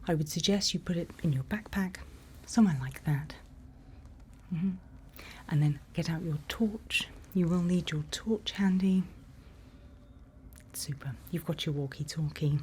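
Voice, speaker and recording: Slow whispering woman, low and breathy. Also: a little mellow. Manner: unhurried, instructive, reassuring. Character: calm female night-watch guide in a dark monster-filled forest. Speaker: ASMR roleplay performer. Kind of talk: whispered ASMR roleplay. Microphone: close microphone. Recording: faint background ambient noise.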